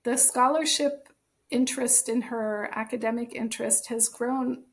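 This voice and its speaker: An American woman, a guest on an interview podcast, answering in a thoughtful, measured way. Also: a little high, fairly even intonation. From born in Germany, raised in the United States. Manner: thoughtful, measured, with occasional soft laughs. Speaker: guest, author and translator.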